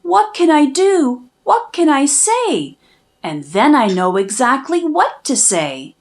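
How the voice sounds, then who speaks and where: Woman reading aloud, high and swooping. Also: slow pace. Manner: expressive, dramatic emphasis. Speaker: children's book narrator, channel host. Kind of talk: storybook read-aloud, rhyming verse.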